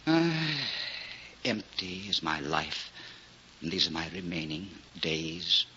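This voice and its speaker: A grieving, lonely old man, lamenting in declamatory fashion with a forceful, sharp edge. The voice sits a little low, and the 1940s radio recording is dull with a faint hiss of noise. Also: big pitch swings, takes it slowly, full character voice. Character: bitter, grieving, lonely old village shoemaker.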